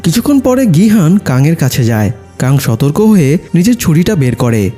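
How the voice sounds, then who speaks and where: A man's voice: fairly high pitch, big pitch swings, moderate pace, warm tone, slightly rough. A man narrating a film's plot from a script. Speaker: movie recap narrator. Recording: music behind the voice. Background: background music.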